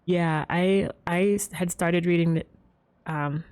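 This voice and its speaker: A woman's voice: on the low side, steady tone, slow pace, a little mellow, clean tone. A woman livestreaming a game and chatting casually with viewers in a rambling, giggly way. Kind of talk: casual chat with stream viewers. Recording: game music behind the voice.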